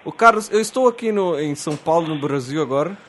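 A man from Brazil, a radio comedian making a prank call, speaking slowly and hesitantly with exaggerated politeness and many restarts. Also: fairly high pitch, animated, a bit bright, a little hiss or hum.

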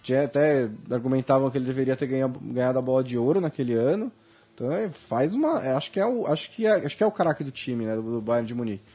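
Brazilian male football pundit, quick and husky, slightly raised voice, animated debating. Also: mid-range voice. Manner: fast, animated, many restarts. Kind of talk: casual sports debate.

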